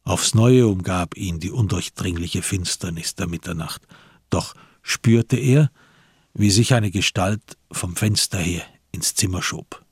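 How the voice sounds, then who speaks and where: Male narrator, very low, strongly husky and rough, measured, suspenseful, scripted radio crime story. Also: no room sound, studio quality.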